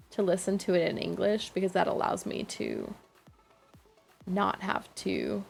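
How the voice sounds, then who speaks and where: A woman's voice: medium pitch, creaky, darkish tone. A young woman streaming a game, chatting casually and rambling with lots of fillers. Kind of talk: casual chat while gaming.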